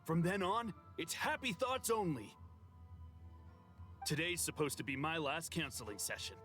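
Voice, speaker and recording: A smug male devotee from a video game. His voice sits fairly high and moves in lively, haughty sweeps. Music plays under the fervent delivery. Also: moderate pace. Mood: zealous.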